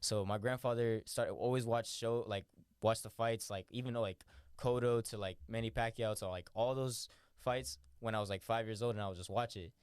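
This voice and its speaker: A young man, a boxer, chatting casually in an interview, relaxed and rambling with lots of "like" and "you know". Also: on the low side, moderate pace, smooth, no room sound, clean studio sound. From born in New York, raised in Florida. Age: young adult. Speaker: professional boxer, interview guest.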